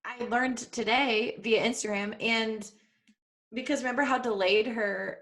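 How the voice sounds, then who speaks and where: A woman's voice: on the high side, steady tone, a little slow, fairly bright tone. A woman co-hosting a casual live podcast, telling small anecdotes with wry, joking asides. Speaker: podcast co-host.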